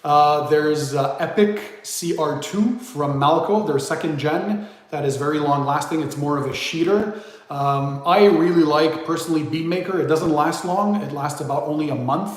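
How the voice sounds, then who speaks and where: A man's voice: on the high side, normal speed. A man casually hosting a live stream, reading out viewer questions and thanking donors as he chats. English is not his first language. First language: not English; Greek is his third language. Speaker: livestream host. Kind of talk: casual livestream chat. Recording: very echoey room.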